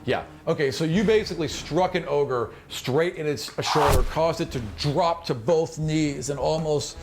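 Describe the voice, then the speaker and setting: A man's voice: on the high side, moderate pace, over loud music. A man running a tabletop fantasy game as game master, improvising narration and acting out sound effects. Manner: acts out sound effects while describing action. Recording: noticeable background noise.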